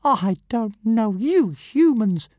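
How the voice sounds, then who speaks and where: A man voicing a tiny, arrogant male dragon who speaks grandly and insults everyone, in a squeaky, haughty manner. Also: expressive, a little slow, no echo, clean studio sound, heavily acted. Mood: indignant, scornful. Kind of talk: audiobook character voice.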